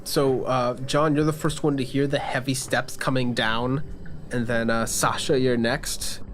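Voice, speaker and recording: A man's voice: medium pitch, level tone, a little slow, slight background noise. A man working as game master, narrating scenes and calling for rolls in a tabletop role-playing game.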